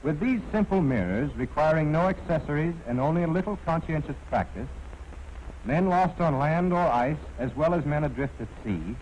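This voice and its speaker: American male narrator, slightly high voice, formal 1940s instructional delivery, faintly noisy vintage film soundtrack. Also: lively intonation, normal speed, a little mellow.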